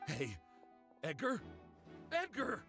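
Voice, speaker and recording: Casting: nervy excitable man for a mystery game, gravelly edge, unhurried pace, light music. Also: high-pitched, heavily acted. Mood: alarmed. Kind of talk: video game voice acting.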